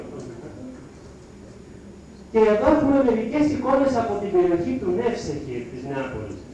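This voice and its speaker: A man giving a public lecture over slides, speaking haltingly in short phrases with pauses. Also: high voice, dark tone. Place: lecture hall with audience. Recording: echoey hall, distant mic, faint noise.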